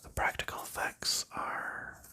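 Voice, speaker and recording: A man doing ASMR, whispering through rambling, unhurried chat with soft laughs while he sorts board game pieces. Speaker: ASMR creator.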